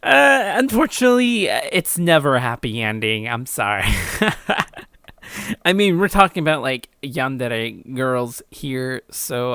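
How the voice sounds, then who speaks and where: A man's voice: medium pitch, creaky, sharp tone, clean tone, no echo. A young man chatting casually and informally as he hosts a reaction video and reads comments, giggly and self-deprecating. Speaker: YouTube host reacting to own story.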